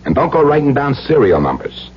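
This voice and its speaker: A man's voice: mid-range voice, sing-song, a little fast, natural voice. Man acting a menacing gunman, muffled noisy radio. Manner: tough slangy talk, domineering. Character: menacing armed fugitive criminal, cold and taunting. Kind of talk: old-time radio drama acting. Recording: muffled radio recording with hum and noise.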